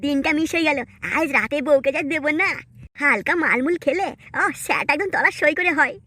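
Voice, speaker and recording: A man voicing a brash, slangy young village husband in a comic cartoon, with a pitched-up voice. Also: expressive, fairly quick, sharp tone, heavily acted. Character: brash, foul-mouthed young village husband, quick to grumble. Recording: very noisy cartoon soundtrack.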